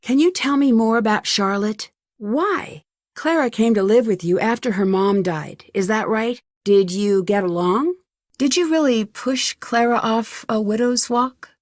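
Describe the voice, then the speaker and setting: An actress with an American accent playing an inquisitive, determined young amateur detective in a video game, speaking clearly and questioningly. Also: female voice, fairly high pitch, a little slow, dry recording, clean studio sound, close to an everyday voice. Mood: curious, probing. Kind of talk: scripted video game dialogue.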